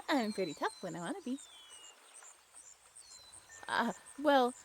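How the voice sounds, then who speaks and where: A woman's voice: high voice, expressive, takes it slowly, slight background noise, full character voice. A young woman playing a playful, tough-talking but shy writer in an intimate, chatty audio roleplay. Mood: teasing, amused. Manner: chatty, teasing, giggly.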